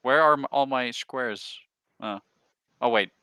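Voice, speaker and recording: A man's voice: mid-range voice, a little slow, bright, forceful tone, smooth, no echo. A man chatting casually as he plays a board game. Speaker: game player. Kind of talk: casual chat while playing.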